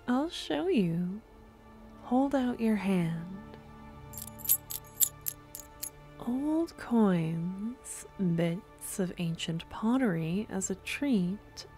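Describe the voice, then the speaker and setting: A woman acts out a possessive young female necromancer who adores her captive. Her voice is soft and often whispered, with a creaky edge, and she coos very slowly and intimately in an ASMR audio roleplay. Music plays behind her. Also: mid-range voice, heavily acted. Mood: playful, hushed. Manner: slow, cooing, gentle coaxing. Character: devoted, possessive young woman necromancer stalker, sweetly menacing.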